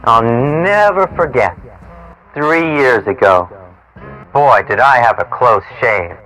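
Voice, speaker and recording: A man in his forties speaks as a show host in a studio, with music behind him. His talk is informal and reflective, wandering along with halting turns at a middle pitch. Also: expressive, bright, forceful tone, poor audio. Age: 40s. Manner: rambling, musing, halting delivery. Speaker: cable TV show host and producer. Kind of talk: informal interview talk and reminiscence. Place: small equipment-packed studio. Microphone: clip-on mic.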